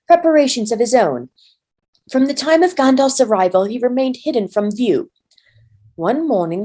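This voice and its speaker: A woman's voice: on the high side, darkish tone. A woman narrating a storybook as a volunteer reader.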